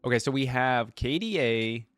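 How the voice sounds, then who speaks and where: A man's voice: medium pitch, animated, moderate pace, fairly bright tone, dry recording. A man giving casual, off-the-cuff reaction commentary, thinking aloud in a rambling way with lots of "like" and "you know". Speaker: reaction video host.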